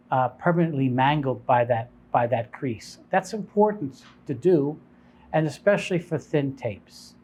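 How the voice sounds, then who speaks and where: A man's voice: fairly high pitch, darkish tone. A man speaking as a shop owner, explaining and advising in an informal, unscripted, conversational way. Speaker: shop owner presenting product advice.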